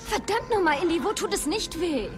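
A woman's voice: very high-pitched, lively intonation, brisk, music in the background. An actress playing a feisty, caring woman in a film dub, teasing and insistent. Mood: insistent, exasperated. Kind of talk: dubbed film acting.

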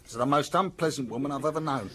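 An actor playing a gruff, unhelpful village working man in a radio drama, curt and grumbling. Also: fairly high pitch, fast, a bit bright, close to an everyday voice. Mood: scornful.

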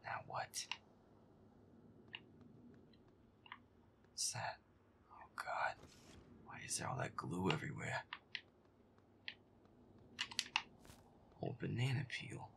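A man playing a feisty, foul-mouthed werewolf boyfriend grumbling through a video game in a breathy, gravelly mutter. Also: low voice, big pitch swings, normal speed, whispered, heavily acted. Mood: annoyed, uneasy. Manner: irritable, sweary grumbling. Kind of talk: gameplay roleplay audio, muttered reactions.

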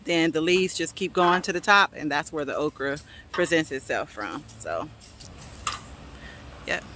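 A woman's voice: low-pitched, lively intonation, a little slow, bright, forceful tone, slight background noise. A woman in her forties giving a casual, chatty tour of her backyard garden on a phone held at arm's length, laughing often. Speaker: vlogger.

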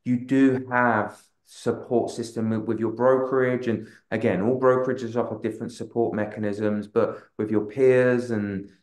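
A man's voice: mid-range voice, steady tone, normal speed, hardly any pauses, darkish tone. A man in his thirties, a real estate agent on a webinar panel, answering at length with many restarts and filler phrases over a video call. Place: room with a large wall map. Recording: roomy video call audio.

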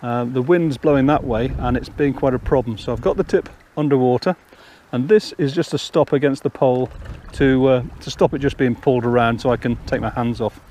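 A man's voice: medium pitch, fairly even intonation, fairly quick, hardly any pauses, warm tone, slight background noise. An older man casually explaining fishing steps outdoors on a riverbank, talking step by step. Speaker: angler and channel host.

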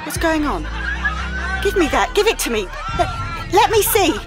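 A woman's voice: extremely high pitch, sing-song, moderate pace, over music, crowd noise. An actress playing a humiliated, distressed schoolgirl demanding answers in an audio drama. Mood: anxious, demanding.

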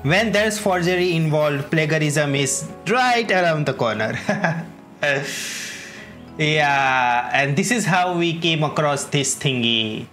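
A game streamer with a medium-pitched voice talks very slowly. The commentary is casual and wry, with halting asides over clearly audible game music. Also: lively intonation, a bit bright.

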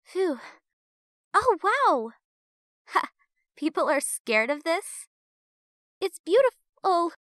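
A woman voicing a timid, excitable human child in a comic dub. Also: extremely high pitch, slow, long pauses, no room sound, studio quality, full character voice. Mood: panicked wonder. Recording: faint music behind.

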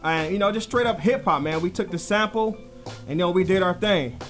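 High-pitched American man, slangy rapper guest. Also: fairly quick, soft background music. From Detroit, United States. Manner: slangy, addresses listener as 'man'. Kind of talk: casual chat. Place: radio studio with brick wall, indoors. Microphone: close studio microphone, headphones.

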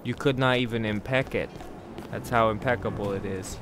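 A man's voice: on the low side, normal speed. A man commentating his own gameplay, joking and swearing, now and then putting on silly voices. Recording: game music underneath.

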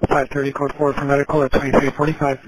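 A man working as a police dispatcher, repeating back each radio call in a clipped, steady way. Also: medium pitch, fast talker. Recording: noisy police radio audio.

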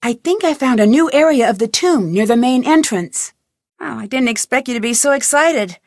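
A young female sleuth in an adventure game, persistent and curious. Her voice sits a little high and moves with very lively intonation. She speaks earnestly and questioningly, recorded dry with no room around her. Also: moderate pace, no echo. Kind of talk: scripted adventure game voice lines.

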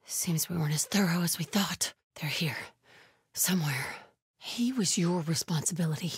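A devout, watchful female cult scout from a video game. She speaks low and very breathy, often near a whisper, with stern, guarded solemnity. The sound is close and dry. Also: no room sound. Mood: guarded, grim. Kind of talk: hushed video game stealth dialogue.

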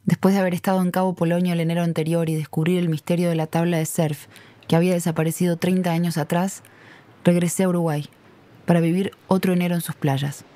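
An actress playing a young journalist who narrates a mystery in a scripted audio drama. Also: female voice, lowish voice, level tone, fast, warm tone, natural voice. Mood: calm, storytelling. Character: 27-year-old woman journalist narrating a mystery.

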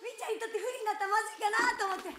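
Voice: very high-pitched, fairly even intonation, rapid-fire, a crowd in the background. An actor playing a nosy, self-satisfied middle-aged auntie in a comic stage play, bragging and gossipy. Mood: smug. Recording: noisy echoey theatre recording.

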